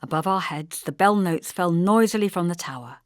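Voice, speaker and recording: A woman narrating a literary novel as an audiobook, in a measured, expressive storytelling manner. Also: fairly low pitch, no echo, studio quality.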